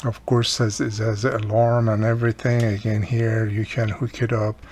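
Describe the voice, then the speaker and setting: Slightly husky man demonstrating a repair. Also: lowish voice, flat delivery, moderate pace, darkish tone. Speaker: radio repair hobbyist and host. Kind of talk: hands-on repair demonstration, casual explaining.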